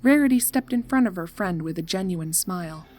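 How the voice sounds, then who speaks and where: A woman reads scripted horror fiction as narrator. Her voice is a little low, and her delivery is measured and atmospheric, with music behind her. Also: lively intonation, a little mellow.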